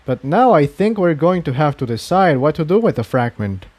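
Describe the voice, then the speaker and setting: Guy playing sensible unicorn fella, highish voice, even reasonable peacemaker in a fantasy story. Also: moderate pace, no room sound. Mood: even, thoughtful. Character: level-headed male unicorn, calm peacemaker.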